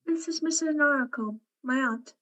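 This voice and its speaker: Young woman as a high-voiced, polite country girl. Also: unhurried, bright, forceful tone. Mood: shy, polite. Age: young adult. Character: shy, polite eighteen-year-old country farm girl. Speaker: student actor. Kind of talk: radio play acting.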